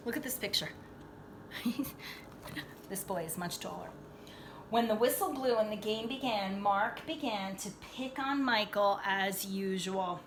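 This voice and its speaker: A woman reading a children's picture book aloud in an animated, dramatic way, pausing to explain things to young listeners like a teacher. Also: medium pitch, noisy background. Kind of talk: expressive read-aloud storytelling with asides.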